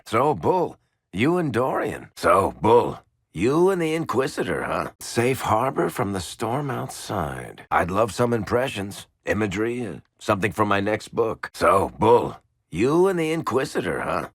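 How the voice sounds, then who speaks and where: An actor playing a roguish dwarf storyteller in a video game, with a casual, sardonic manner and dry, teasing wit. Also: male voice, lowish voice, fairly bright tone, breathy edge, dry recording, full character voice. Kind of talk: video game character dialogue.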